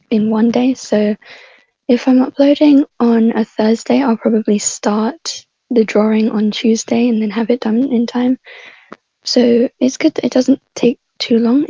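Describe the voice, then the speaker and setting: Woman, mellow husky voice, casual podcast chat, dull lo-fi mic. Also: fairly high pitch, steady tone, moderate pace, no echo. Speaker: voice actor and podcast panelist. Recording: dull lo-fi microphone sound.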